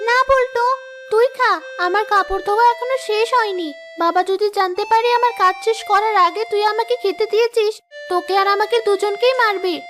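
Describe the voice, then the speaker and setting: A woman's voice: very high voice, a little fast, a bit bright, soft background music. A woman voicing a dutiful, long-suffering young daughter in a cartoon, earnest and pleading. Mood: reassuring. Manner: pleading, earnest, self-sacrificing. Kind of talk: cartoon dub acting.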